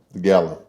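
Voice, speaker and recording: A young college-age man hosting a casual podcast, telling stories in laid-back slang and laughing often. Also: a little mellow. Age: young adult. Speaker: podcast host. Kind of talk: casual chat.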